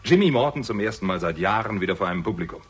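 Hard-boiled sleuth, deep-ish husky voice, sardonic, biting, hot-tempered, 1960s German radio crime drama. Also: male voice, lively intonation, very fast. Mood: angry, accusing. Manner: dry, biting sarcasm. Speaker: lead actor and first-person narrator.

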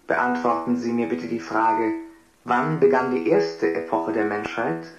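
A man voicing an impersonal interrogation computer in a radio drama, formal, measured and formulaic. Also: medium pitch, fairly even intonation, close to an everyday voice. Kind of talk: German radio drama acting. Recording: dull lo-fi, loud guitar music.

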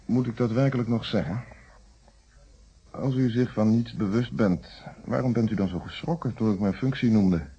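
An actor playing a calm, principled middle-aged police inspector in a Dutch radio detective play, speaking in formal, articulate explanations. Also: male voice, on the low side, moderate pace, slightly rough. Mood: narrating, probing. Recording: dull, muffled old radio recording.

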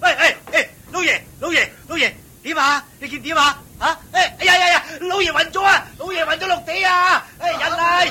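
An actor playing an excitable, flattering uncle in a Cantonese radio drama, exclaiming in an animated way with a Hong Kong accent. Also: male voice, very high-pitched, a little fast, long pauses, noisy background. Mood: alarmed, panicked.

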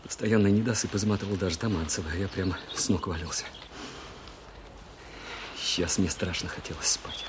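An actor playing a weary wartime officer, narrating a long search in a radio drama. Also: male voice, low-pitched, fairly quick, long pauses, noisy background, close to an everyday voice. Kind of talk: first-person dramatic narration, radio play.

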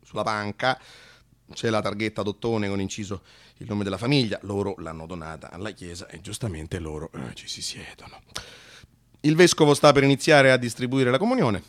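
A man with a Roman accent telling an autobiographical story as a theatrical monologue, colloquial and animated, with hesitations. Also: lowish voice, a little fast, no echo. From Italy. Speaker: actor and storyteller.

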